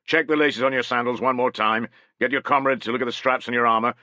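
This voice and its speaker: A man's voice: medium pitch, steady tone, fast, sharp tone, no echo, lo-fi sound, pitched above the performer's own voice, natural voice. A man voicing a battle-hungry Roman centurion, bellowing rousing orders to his soldiers in an audiobook.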